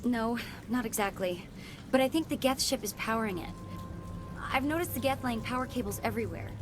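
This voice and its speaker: A woman's voice: a little high, brisk, slight background noise, natural voice. A woman as a jittery young research assistant. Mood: nervous. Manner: nervous, hesitant.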